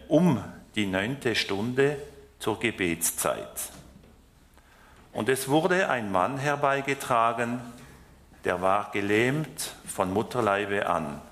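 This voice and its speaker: Male lay preacher, Swiss German accent, French first language, slow and hesitant delivery. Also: mid-range voice, a bit bright. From France. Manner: slow, hesitant, with fillers and restarts. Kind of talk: sermon.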